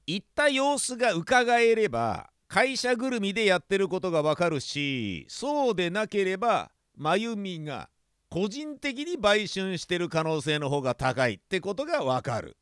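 A man's voice: high-pitched, sing-song, moderate pace, fairly bright tone, clear, resonant tone, no room sound, clean studio sound. A man playing a cocky, lecherous police detective in an audio drama, sliding between formal politeness and crude banter. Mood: scheming. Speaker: voice actor.

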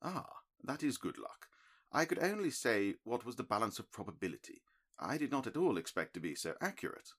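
A man reading an audiobook, voicing a calm, analytical middle-aged male detective who explains his reasoning in a crisp, precise, lecturing manner. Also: medium pitch, brisk, pitched above the performer's own voice, full character voice. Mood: explaining.

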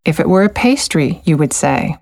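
A woman with a low voice narrates a language course in a calm, encouraging way. Her delivery is measured and steady, like a scripted guide. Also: vocal fry.

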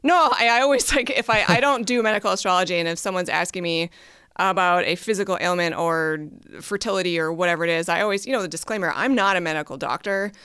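A woman talks on a podcast in a smooth, slightly low voice. She rambles with warm enthusiasm and laughs now and then. The sound is dry and close. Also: fast talker, dry recording. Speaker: podcast host and astrologer. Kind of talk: casual conversation.

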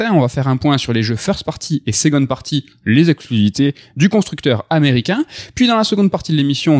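A man's voice: fairly high pitch, darkish tone, dry recording, clean studio sound. A man hosting a casual video game news podcast, chatty and upbeat, with many fillers and restarts. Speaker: host.

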